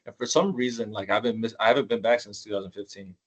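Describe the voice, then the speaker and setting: A man in his thirties hosting a casual interview, talking in a relaxed, slangy way. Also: fairly low pitch, fast talker. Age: 30s. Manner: laid-back, slangy, calls guest bro and dude. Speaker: podcast host.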